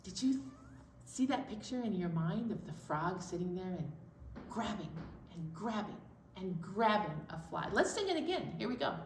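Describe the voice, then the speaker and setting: A woman leading a children's storytime as a librarian, speaking in a gentle, slow, encouraging way to young children. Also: mid-range voice, big pitch swings, reverberant, distant mic, a little hiss or hum. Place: library room.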